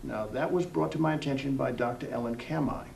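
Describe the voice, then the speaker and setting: A man's voice: medium pitch, fairly quick, creaky. A man hosting an interview program in a casual, rambling, conversational way. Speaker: TV program host. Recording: distant mic with faint room hiss.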